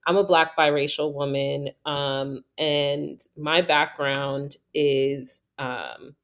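A woman from New York hosting a casual conversation, reflective and thoughtful, with many fillers and lively storytelling. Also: deep voice, takes it slowly.

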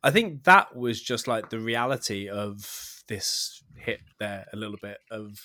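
A man co-hosting a podcast in casual chat, soft-spoken and trailing off at the ends. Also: fairly low pitch, expressive, measured pace, no echo. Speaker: podcast co-host.

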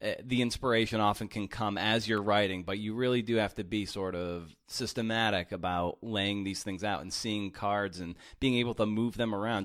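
A man hosts a podcast interview and chats in a relaxed way, using lots of casual fillers. His voice is a bit low. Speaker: podcast host.

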